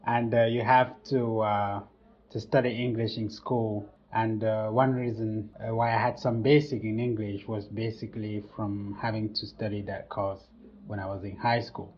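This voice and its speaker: A young man with a Cameroonian accent, speaking as a podcast guest in a measured way with many pauses. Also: fairly low pitch, flat delivery. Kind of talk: casual interview storytelling. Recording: dull lo-fi sound.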